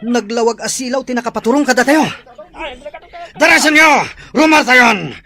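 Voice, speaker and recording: A man's voice: very high voice, normal speed, vocal fry, bright, forceful tone, heavily acted. An actor playing a bossy bandit leader in a radio play, barking orders and scolding his gang. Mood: commanding.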